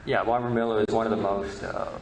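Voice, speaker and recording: A man giving an informal history lecture as presenter, halting and often repeating words. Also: fairly low pitch, little pitch movement. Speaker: presenter, media history researcher. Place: presentation room at a convention. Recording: echoey room with background noise.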